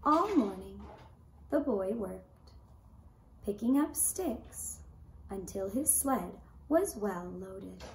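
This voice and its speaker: A woman in her thirties narrating a children's story for a puppet show, with gentle, deliberate phrasing, recorded from a camera in a room. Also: mid-range voice, animated. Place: indoor puppet stage in a decorated room. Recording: roomy echo, clear background noise.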